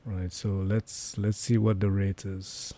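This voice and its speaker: A man with a hoarse voice giving casual live commentary on his currency trades, thinking aloud with many fillers. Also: low-pitched, level tone, slow pace, warm tone, a little hiss or hum. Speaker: trading livestreamer.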